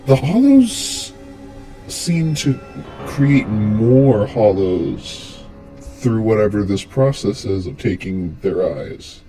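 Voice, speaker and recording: A man's voice: on the low side, mellow, slightly rough, poor audio. A man giving scripted commentary as the theatrical host and storyteller of a horror explainer. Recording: music under the voice. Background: music.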